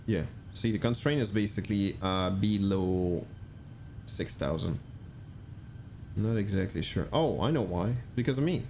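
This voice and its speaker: A man's voice: fairly low pitch, lively intonation, a little slow, darkish tone, slight background noise. A man streaming a flight simulator, chatting casually, thinking aloud and answering chat with many fillers.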